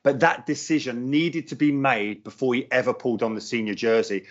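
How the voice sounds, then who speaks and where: A middle-aged man from London speaks quickly and with conviction. He keeps restarting his sentences as he argues his point in a radio interview. The sound is dull and lo-fi, like a phone line. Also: medium pitch, steady tone. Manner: earnest, firm, frequent restarts. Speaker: former footballer, radio guest.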